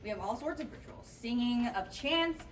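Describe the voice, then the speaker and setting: A woman plays a swaggering, teasing comedy matriarch in her fifties. Her pitch is high. The room echoes and she is away from the mic. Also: expressive, normal speed, close to an everyday voice. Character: cocky, teasing fifties mother, queen of the tailgate. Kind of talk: comedy table read. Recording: echoey room, distant mic, faint noise.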